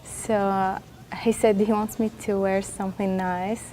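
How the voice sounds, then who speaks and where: A young woman whose first language is Arabic speaks slowly in English and searches for her words. Her voice is mellow and warm, and her tone stays flat. She pauses often to think. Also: mid-range voice, low-quality recording. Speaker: bride-to-be interviewee. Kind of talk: casual interview answers. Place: hotel meeting room, seated at a table.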